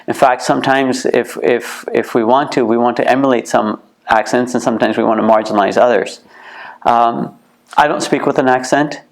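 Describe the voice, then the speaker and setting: A middle-aged man with a Canadian accent speaks earnestly in an interview. His voice has a gravelly edge, and he often repeats a word or two as he restarts a sentence. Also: medium pitch. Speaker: museum co-founder, interview guest. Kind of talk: reflective interview answers. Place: heritage museum.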